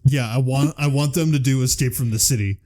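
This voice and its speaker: A man co-hosting a casual conversation, speaking like an enthusiastic, animated fan who swears casually. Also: mid-range voice, fairly quick. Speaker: podcast co-host. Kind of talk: casual chat about video game movies.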